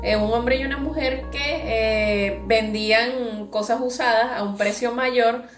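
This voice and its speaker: A woman's voice: fairly high pitch, steady tone, measured pace, roomy sound, faint music behind. A woman chatting casually as a podcast co-host. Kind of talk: casual chat about television.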